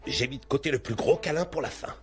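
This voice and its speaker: A man's voice: medium pitch, animated, fast, natural voice. An actor playing a boisterous, affectionate and oblivious older stepfather, effusive and chatty, in a cartoon film dub.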